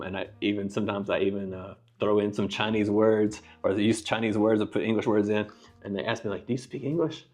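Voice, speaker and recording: Low-voiced American man rambling casually. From United States. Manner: rambling, many fillers and restarts. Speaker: vlogger. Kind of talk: casual talking-head vlog. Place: living room of an apartment. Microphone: clip-on lapel mic.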